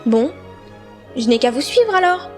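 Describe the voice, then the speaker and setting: A man voicing a polite, gentle young female nymph with a thin feminine voice in an amateur audio drama. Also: extremely high pitch, big pitch swings, a little slow, heavily acted. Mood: concerned. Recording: faint music behind the voice.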